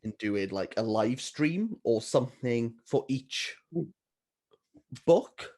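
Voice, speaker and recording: A man's voice: medium pitch, lively intonation, slow, darkish tone. A man co-hosting a casual, unscripted book vlog with a playful, dryly teasing manner. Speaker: book vlogger and readalong co-host.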